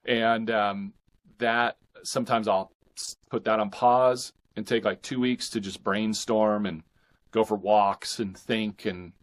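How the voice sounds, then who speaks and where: A man with a low-pitched voice talks as a guest author in a relaxed interview. He is earnest and reflective, feeling his way through thoughts in halting phrases and doubling back on words. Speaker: guest, indie fiction author. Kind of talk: casual interview conversation.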